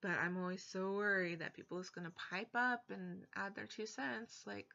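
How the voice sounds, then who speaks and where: A woman's voice: mid-range voice, fairly even intonation, normal speed, gravelly, pushed and bright. A young woman, talking frankly and with exasperation in a personal vlog from her bedroom. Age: young adult. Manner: frank, exasperated, blunt asides. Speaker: vlogger. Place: bedroom, indoors. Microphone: webcam at desk. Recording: dull lo-fi webcam audio.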